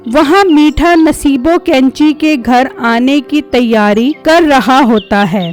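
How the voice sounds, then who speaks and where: A woman's voice: sits high, slow, faint music behind. A woman reading scripted narration for a cartoon story.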